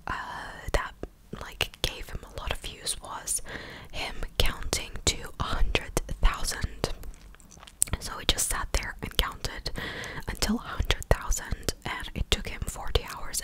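A young woman speaking in a breathy ASMR whisper close to the microphone, chatting casually and hesitantly as she recaps news. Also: low-quality recording. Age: young adult. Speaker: ASMR content creator. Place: small living room, indoors. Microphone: close desktop condenser microphone.

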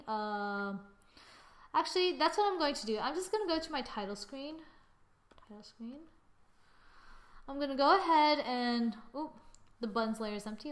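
Young adult female tutorial presenter, higher-pitched, informal, thinks out loud while working. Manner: informal, thinking aloud, muttering asides and sing-song filler. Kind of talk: casual screen-recorded software tutorial. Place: indoors, voice-over to a screen recording.

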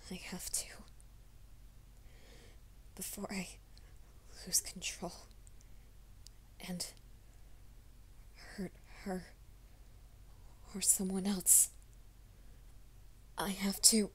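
A woman's voice: mid-range voice, level tone, extremely slow, long pauses, a little hiss or hum. Woman voicing a whispering young werewolf man. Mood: tense whisper. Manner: mostly hushed, urgent whispering to himself. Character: young male werewolf soldier, anxious and secretive. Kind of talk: ASMR roleplay audio.

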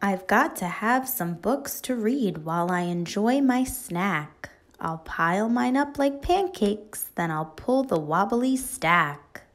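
A woman reading a picture book aloud to children like a teacher, expressive and deliberate, in a child-directed storytelling manner. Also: mid-range voice.

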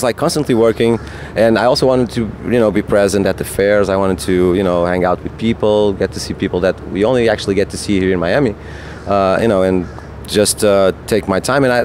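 Portuguese man, non-native English speaker, low-pitched, halting with fillers, outdoor rooftop interview. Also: fairly even intonation, a little mellow, slight background noise. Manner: hesitant, lots of fillers and restarts. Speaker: guest artist. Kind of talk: casual interview chat. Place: hotel rooftop terrace outdoors. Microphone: handheld mic.

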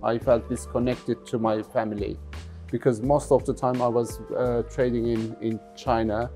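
A middle-aged man from London giving thoughtful interview answers as a business founder, haltingly and often repeating words. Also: mid-range voice, little pitch movement, dark tone, soft background music. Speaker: jeans factory founder being interviewed.

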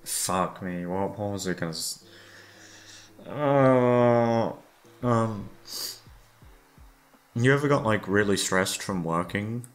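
A man with a gravelly voice rambling casually, tired and downcast, hesitant and self-deprecating, swearing often. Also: lowish voice, takes it slowly, long pauses. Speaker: audio creator talking to listeners. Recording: music playing behind the voice. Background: soft background music.

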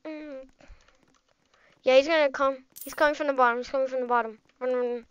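A woman giving casual, slangy running commentary while playing a video game, reacting out loud as things happen. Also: sits high, fairly even intonation, fast, clear, resonant tone, no echo, muffled recording. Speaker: gameplay streamer.

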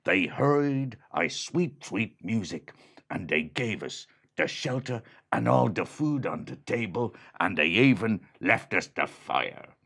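A man voicing a kindly, steady male guard dog who talks low and reassuringly. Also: takes it slowly, vocal fry, breathy edge, exaggerated voice.

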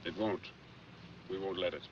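An actor playing a guarded, scheming middle-aged husband in a 1940s film drama. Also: male voice, low-pitched, fairly even intonation, moderate pace, lightly acted. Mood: reassuring. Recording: muffled, hissy 1940s film soundtrack.

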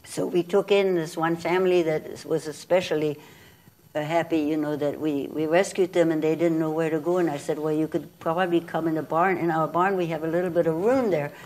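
An older woman tells her story as an animated, lively guest, often laughing as she goes. Her voice sits a little low for a woman. She is picked up close on a lapel mic in a small studio. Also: fairly quick, rough recording. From Czechoslovakia. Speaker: interview guest. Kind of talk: personal war memories. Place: small television studio, indoors.

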